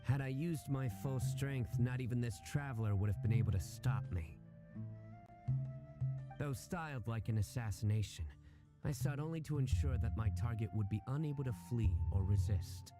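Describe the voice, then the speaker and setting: A man's voice: mid-range voice, moderate pace, over loud music, close to an everyday voice. An actor playing a stern, cold male judge who hunts wrongdoers, in a video game, speaking in a threatening, clipped manner. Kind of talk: video game cutscene voice acting.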